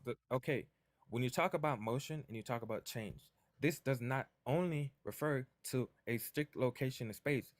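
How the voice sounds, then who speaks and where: A man's voice: medium pitch, normal speed, dry recording. A man calling into a debate, defensive and rambling as he tries to make his point. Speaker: caller.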